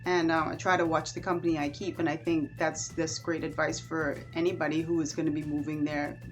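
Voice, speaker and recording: A Bahamian woman talks straight to her viewers in a chatty, animated vlog. Her voice sits a little low for a woman. Faint music plays behind her. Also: brisk. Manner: chatty and animated, with warm asides. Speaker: vlogger. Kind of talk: casual chat to camera.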